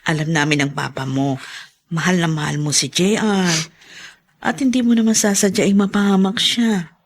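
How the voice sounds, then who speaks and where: A woman playing a caring middle-aged mother in a radio drama, gentle and reassuring in manner. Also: fairly low pitch, fairly even intonation, normal speed. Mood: consoling.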